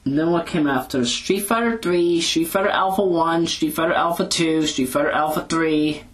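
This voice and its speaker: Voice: mid-range voice, moderate pace, low-quality recording. A speaker giving a casual, rambling audio log in an informal, hesitant, self-correcting way, talking into a handheld recorder. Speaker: video blogger. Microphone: handheld audio recorder moved back and forth.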